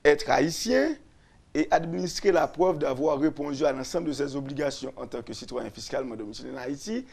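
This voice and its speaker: A man, a lawyer and radio guest, explaining constitutional articles slowly, repeating and restarting phrases. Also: a little high, expressive, vocal fry, darkish tone. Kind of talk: explaining constitutional law on a radio talk show.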